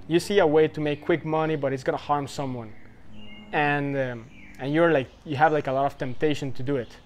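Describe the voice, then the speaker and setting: A man in his twenties giving advice in casual talk to the camera, rambling and loose, full of fillers and asides. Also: on the high side. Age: 20s. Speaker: vlogger giving life advice.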